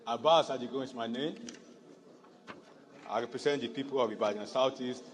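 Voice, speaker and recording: A man's voice: medium pitch, normal speed. A middle-aged Nigerian man, a native Yoruba speaker, giving a floor speech as a legislator. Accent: Nigerian Yoruba-region English. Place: large indoor legislative chamber. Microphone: desk gooseneck microphone. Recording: echoey noisy chamber, long reverb.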